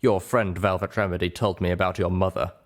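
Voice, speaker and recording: A man voicing a calm, frank pony doctor in a dramatized audiobook, measured and matter-of-fact. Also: low-pitched, lightly acted. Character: calm, frank pony doctor giving grave medical advice.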